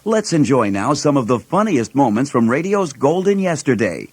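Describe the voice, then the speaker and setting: A man's voice: mid-range voice, very animated, moderate pace, very noisy. A man reading scripted documentary narration as a narrator.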